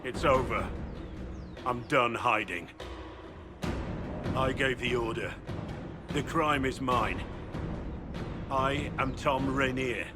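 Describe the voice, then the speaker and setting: An actor playing a gruff, guilt-ridden soldier in a video game drama, speaking gravely and deliberately. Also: male voice, medium pitch, long reverb tail, over loud music, lightly acted. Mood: resigned, grave.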